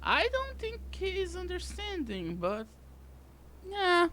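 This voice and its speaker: A player giving casual gameplay commentary, reading and reacting aloud in halting short bursts. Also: extremely high pitch, wildly swooping pitch, slight background noise. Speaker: gaming video creator playing a story quest. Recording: loud game music behind voice. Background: loud game music.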